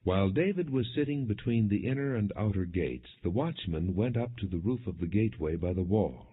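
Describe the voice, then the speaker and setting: A man reading scripture as a scripted audiobook narration in a measured, solemn way. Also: on the low side, expressive, slightly rough. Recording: dull, lo-fi narrow-band audio.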